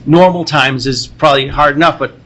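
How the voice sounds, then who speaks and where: A man hosting a podcast live at a convention, chatting casually and haltingly, often repeating himself. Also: fairly high pitch, steady tone, fairly bright tone. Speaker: podcast host. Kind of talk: casual chat at a live recording. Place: comic convention.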